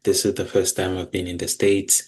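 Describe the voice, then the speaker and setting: A soft-spoken student from Tanzania introducing themself plainly. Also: low voice, completely flat delivery, normal speed.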